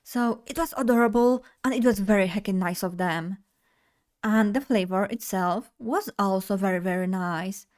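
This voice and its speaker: A woman's voice: medium pitch, moderate pace, darkish tone. Bubbly young woman streamer. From Poland. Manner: bubbly, chatty, addresses chat directly. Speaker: vtuber streamer. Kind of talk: casual live stream chat.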